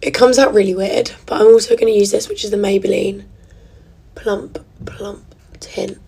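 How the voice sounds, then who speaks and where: Young woman, casual giggly vlogger, mid-height voice, slips into soft whispers. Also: medium pitch, fairly even intonation, moderate pace, vocal fry, breathy edge. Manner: chatty and giggly, drops to a soft near-whisper at times. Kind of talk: casual get-ready-with-me chat.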